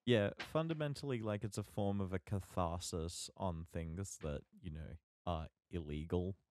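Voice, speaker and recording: A man's voice: sits low, normal speed, a little mellow, no echo. A man co-hosting a podcast, bantering casually in a sarcastic, informal way. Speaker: podcast co-host.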